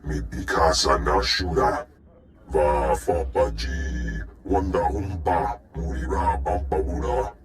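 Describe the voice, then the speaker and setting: An actor playing a ponderous male alien in a video game, speaking an invented tongue deliberately, with ambient noise behind. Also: rumbling low, animated, full character voice.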